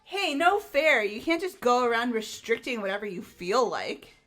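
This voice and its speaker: A woman voicing an energetic, naive high school girl in a video game fan dub, bubbly and full of exclamations. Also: normal speed, soft background music. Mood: alarmed, indignant.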